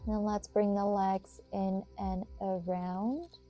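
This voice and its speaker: A woman giving guided yoga and meditation instruction in a soothing, measured way, with pauses between phrases. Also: mid-range voice, flat delivery, highs cut off. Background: music.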